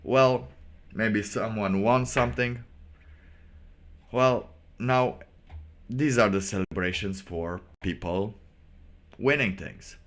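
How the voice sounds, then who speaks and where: A man with a fairly low voice talks very slowly as he hosts a solo stream. His delivery is halting and sardonic, and he often repeats a phrase to drive it home. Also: noisy background. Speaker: livestream host. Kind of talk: casual solo livestream commentary.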